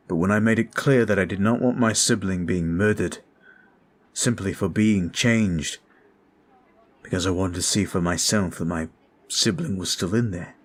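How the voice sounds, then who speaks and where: A man voicing a brooding male vampire in an ASMR roleplay, talking in a slow, intimate, confiding way. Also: low voice.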